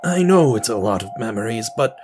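Guy doing a sad lonely dad in a radio play, pleading and gentle, voice moves around a lot, music under it. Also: lowish voice, big pitch swings, fairly quick. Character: lonely, pleading middle-aged widowed father.

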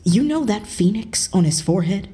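A woman's voice: mid-range voice, animated, normal speed, warm tone, lightly acted. A woman playing a brusque, evasive CIA agent in an audio drama, with a dry, blunt delivery. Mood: deliberate.

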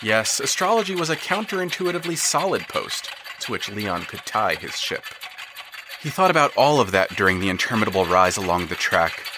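A man narrating an audio drama in scripted, expressive storytelling, swelling with tension and dropping to hushed tones. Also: on the low side, a little fast, noisy background.

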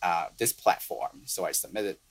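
A young man with a Chinese accent talks in a fairly low voice, earnest and halting, often restarting his sentences. He speaks as a guest in a relaxed podcast interview, with English as his second language. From southern China. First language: Cantonese and Mandarin. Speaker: playwright and composer guest.

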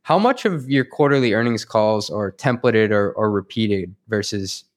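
A man's voice: lowish voice, moderate pace. A man hosting a podcast interview, asking questions in an informal, inquisitive way. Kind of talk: casual interview chat.

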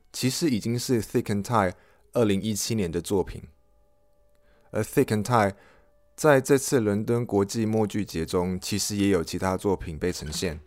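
A man's voice: sits low, darkish tone. A man hosting an audio log, reading a scripted first-person review as narration. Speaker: podcast host, reviewer.

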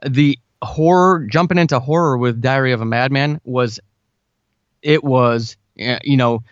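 A man talks casually as an interview guest, explaining things step by step in an informal, conversational way. His voice sits at a medium pitch. The recording is dry and slightly dull, with little room sound. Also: measured pace, no echo. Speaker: podcast guest, writer and audio drama podcaster. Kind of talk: casual interview talk, giving advice.

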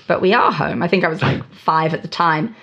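Woman in her 30s, interview guest, fairly low voice, muffled lo-fi remote audio. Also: brisk, slightly rough. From South Africa. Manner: lively, laughs easily, teasing. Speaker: guest musician. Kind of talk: casual interview chat. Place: home in Toronto.

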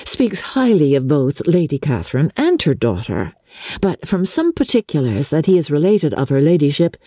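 A woman voicing a candid, spirited young gentlewoman in a classic novel reading. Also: low-pitched, sing-song, normal speed, dry recording, studio quality. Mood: wry, candid. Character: bright, candid young gentlewoman with quick opinions.

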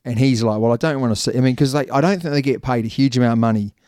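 Podcast co-host, male, New Zealand accent, dark mellow voice, dry close sound, loose rambling delivery. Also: mid-range voice, racing, no room sound. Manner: chatty, laughs easily, rambling asides. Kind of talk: casual rugby podcast chat.

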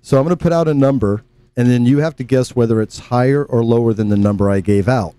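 A man co-hosting a casual podcast who calmly explains the rules of a quiz game. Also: medium pitch, fast talker, clean tone, no room sound. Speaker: podcast co-host, game quizmaster. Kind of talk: casual chat. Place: garage with a fan running.

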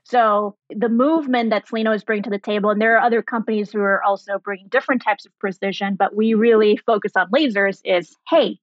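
A woman in her thirties explaining things clearly and conversationally as an interview guest, with simple, articulate phrasing. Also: mid-range voice, dry recording, highs cut off. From grew up in Germany and Sri Lanka. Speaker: guest, biotech founder and laser physicist.

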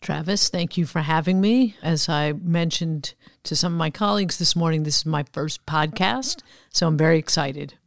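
A woman giving measured, businesslike explanations as an executive guest in a podcast interview. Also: sits low, gravelly, no room sound, clean studio sound. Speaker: podcast guest, chief investment officer.

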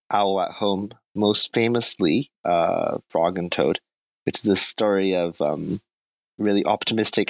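A man's voice: sits low, vocal fry, no echo. A man being interviewed about his art, who grew up in Japan, talking thoughtfully and modestly with small laughs. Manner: soft-spoken, thoughtful, self-deprecating with small laughs. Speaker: artist guest. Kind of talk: casual interview conversation.

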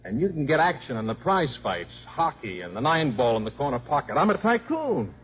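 A man's voice: mid-range voice, sing-song, a little fast, a bit bright, a little hiss or hum. An actor playing a wisecracking, sardonic gambling father in a 1950s radio drama, glib and sarcastic. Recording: muffled 1950s radio recording.

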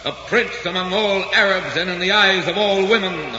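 A grand male radio narrator from the 1940s, declaiming in a very sharp, forceful and fairly high voice. The old muffled recording rings with echo. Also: moderate pace. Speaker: announcer and narrator. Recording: echoey, muffled 1944 radio recording with hiss.

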